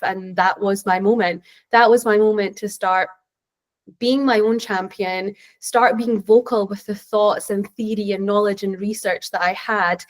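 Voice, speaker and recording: Earnest Scottish woman on a panel. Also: medium pitch. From Scotland. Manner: earnest, reflective storytelling. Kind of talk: online panel answer.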